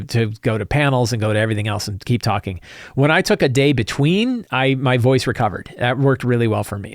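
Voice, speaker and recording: A man's voice: mid-range voice, no echo. Rambling older man, dry close sound. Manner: rambling, repeats words and restarts often. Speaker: RPG talk show host. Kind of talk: casual solo commentary.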